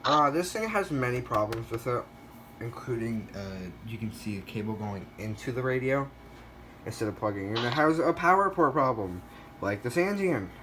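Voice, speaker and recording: A man's voice: mid-range voice, lively intonation, normal speed, background noise. A man giving a casual show-and-tell narration in a hesitant, rambling way, often correcting himself. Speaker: video creator showing a weather radio collection.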